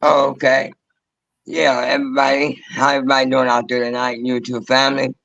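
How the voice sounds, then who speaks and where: An older man with a hoarse, raspy voice talks to listeners in an encouraging, preacherly way. His voice comes through a dull, muffled, dry-sounding remote line. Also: mid-range voice, monotone, brisk, a bit bright, no echo. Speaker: guest, host's father. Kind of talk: casual podcast talk.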